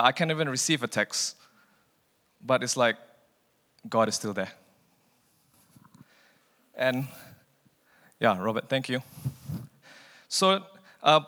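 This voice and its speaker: A man from Malaysia giving a guest sermon in a relaxed, chatty way, often repeating a word as he starts a phrase. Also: medium pitch, big-room sound. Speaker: guest preacher. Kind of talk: informal sermon.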